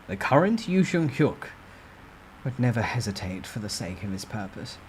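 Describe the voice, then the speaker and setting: A man with a mid-range voice reads a story in the first person. His delivery is dramatic and expressive, in a storytime ASMR style. Clear noise runs behind his voice. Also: medium pitch, normal speed. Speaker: narrator.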